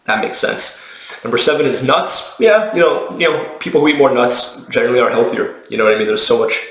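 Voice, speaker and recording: A man's voice: medium pitch, fairly quick, a bit bright. A man speaking as a dietitian in a casual explainer video, conversational, matter-of-fact and opinionated. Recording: roomy, echoey indoor sound.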